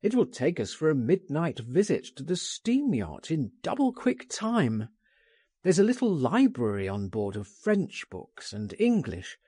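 A bold gentleman , played by a male narrator in a story reading. He is insistent, impatient and persuasive, stressing his points. The delivery is highly animated and slightly husky. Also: a little slow, no room sound, studio quality, heavily acted.

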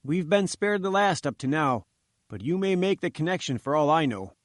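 A man's voice: fairly high pitch, lively intonation, normal speed, no room sound, clean studio sound, natural voice. A man voicing a thin, melancholy head engineer in an audiobook, talking in a gloomy, worried manner. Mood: gloomy, anxious.

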